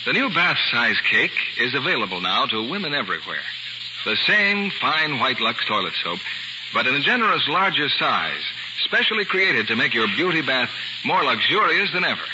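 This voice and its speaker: A man's voice: mid-range voice, sing-song, normal speed. A man reading scripted 1940s radio advertisements as a commercial announcer. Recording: dull, hissy vintage radio recording.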